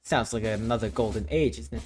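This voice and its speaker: A man's voice: lowish voice, fairly quick, darkish tone, slight background noise. A man from Thailand, a streamer explaining his worldbuilding lore in a casual, explanatory way, often restarting phrases.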